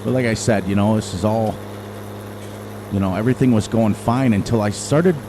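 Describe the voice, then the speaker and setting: Low-voiced middle-aged man over engine noise. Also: moderate pace. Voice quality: loud voice. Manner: rambling, self-correcting, thinking aloud. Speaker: gaming channel host. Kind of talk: casual gameplay commentary. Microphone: desk microphone turned down low. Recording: game engine noise behind.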